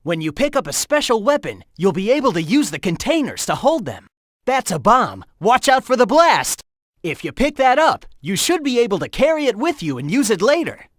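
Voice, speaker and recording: Cocky young hedgehog hero, video game, breezy and encouraging, pitch swinging wildly, dry studio sound. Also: male voice, sing-song, normal speed, no echo. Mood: upbeat. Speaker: in-game guide giving hints.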